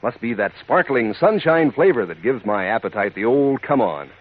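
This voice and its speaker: A man with a husky voice giving a chummy, folksy 1940s radio commercial pitch. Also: animated, moderate pace. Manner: chummy, addresses listeners as pals. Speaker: commercial announcer. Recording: thin, phone-like 1940s radio recording.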